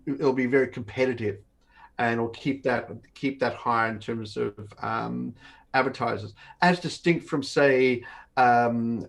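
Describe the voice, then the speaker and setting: Webinar host, male, 50s, mid-pitched, conversational explainer who repeats words as he goes, on a video call. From born in Australia, grew up in northern Canada. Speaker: company host of an online Q&A session. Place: home office, indoors.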